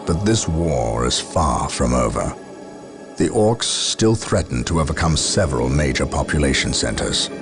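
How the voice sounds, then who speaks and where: An actor playing a stern, resolute Space Marine captain in a video game, with a gravelly, authoritative, solemn delivery. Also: male voice, rumbling low. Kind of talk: video game cutscene acting.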